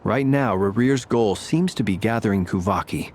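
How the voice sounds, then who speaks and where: A man playing a calm, shrewd adventurer who leads a team in a video game, measured and decisive. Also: lowish voice, a little hiss or hum, close to an everyday voice. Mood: thoughtful.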